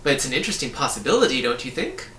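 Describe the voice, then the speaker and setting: A man's voice: medium pitch, sing-song, very fast, pushed and bright, roomy sound, recorded from a distance, a little hiss or hum. A man voicing a breezy, opinionated young boy in a storybook, explaining things in a chatty, matter-of-fact way. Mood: musing. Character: breezy, opinionated young boy who floats in mid-air.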